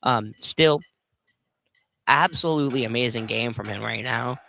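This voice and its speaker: A man giving conversational color commentary on a live basketball game, halting and rephrasing as he goes. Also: mid-range voice, gravelly, pushed and bright. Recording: slight background noise.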